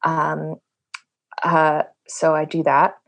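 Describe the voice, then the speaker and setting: Low-voiced hesitant New woman. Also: monotone, extremely slow, fairly bright tone. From New York. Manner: hesitant, many fillers, laughs often. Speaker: playwright. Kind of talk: podcast interview, thoughtful.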